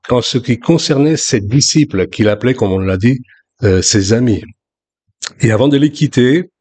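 A man from France in his sixties, preaching a sermon in a relaxed, conversational way with frequent restarts. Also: mid-range voice. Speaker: pastor.

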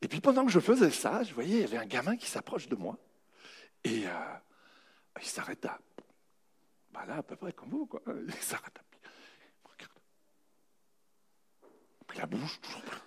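Man, public speaker, humorous storyteller, gravelly and raspy tone, dramatic pauses, anecdotal style. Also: fairly high pitch, wildly swooping pitch. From raised bilingual French-German, in a French-German family. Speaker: motivational speaker. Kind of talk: anecdotal public talk to an audience.

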